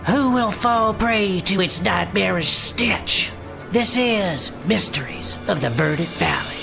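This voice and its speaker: Slow, creaky, ominous announcer. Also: female voice, medium pitch, lively intonation, music in the background. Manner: slow, portentous. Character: ominous, theatrical mystery show announcer. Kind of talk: spooky scripted intro narration.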